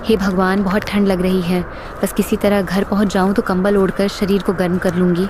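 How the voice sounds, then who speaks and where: A woman voicing a poor, lonely old village woman who speaks in a weary, plaintive way, in an animated storybook. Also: mid-range voice, moderate pace, background noise, close to an everyday voice. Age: older. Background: background music.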